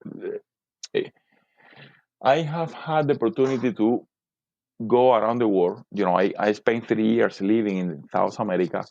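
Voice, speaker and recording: A middle-aged man from Cuba with a Cuban Spanish accent, a native Spanish speaker, talking as a podcast guest in halting speech full of fillers and repeated words. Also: on the low side, creaky, a little mellow, dry recording. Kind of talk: casual interview talk. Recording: dull lo-fi dry sound.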